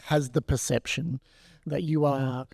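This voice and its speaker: A man's voice: medium pitch, steady tone, unhurried, gravelly, darkish tone, husky, no echo, studio quality. A middle-aged man in his fifties with an Australian accent, interviewing casually as a podcast host. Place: bright glass-walled room, indoors. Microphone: close podcast mic on stand.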